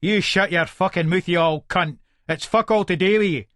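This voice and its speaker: A man's voice: high-pitched, normal speed, fairly bright tone, no echo, studio quality. A man acts out a menacing Scottish working-class father from a gritty story. He barks threats in a raised, hard-edged voice, foul-mouthed and aggressive. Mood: hostile. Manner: aggressive, barking orders and threats.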